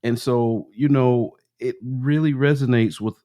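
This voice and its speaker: A man's voice: mid-range voice, fairly even intonation, unhurried, warm tone, no echo, clean studio sound. A man hosting a podcast interview, chatting casually as he sets up questions. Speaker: podcast host.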